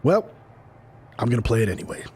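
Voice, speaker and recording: A man's voice: medium pitch, big pitch swings, brisk, a little mellow, slightly rough. A man playing a smug, self-important guitar-playing wrestler in a video game cutscene. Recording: acoustic guitar playing behind.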